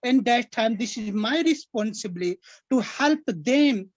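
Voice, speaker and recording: A man from Nepal speaks English with a Nepali accent; Nepali and Maithili are his first languages. He talks in a formal, halting way as he introduces himself. The video call audio sounds dull and lo-fi, with a dry, close sound. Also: very high-pitched, animated, no room sound. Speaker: guest. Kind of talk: English practice conversation.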